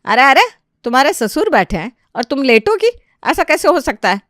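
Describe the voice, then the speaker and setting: A woman narrator voicing a whiny, sarcastic young daughter-in-law in a family story, shrill and pleading. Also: very animated, moderate pace, a bit bright, dry recording, studio quality. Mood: objecting.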